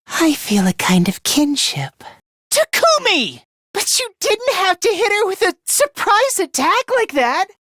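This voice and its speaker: A man voicing a timid, self-loathing young male student in an anime video game, with a youthful, high voice and a meek, apologetic, hesitant manner. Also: sing-song, unhurried, a bit bright, no echo, studio quality, heavily acted. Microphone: close studio mic.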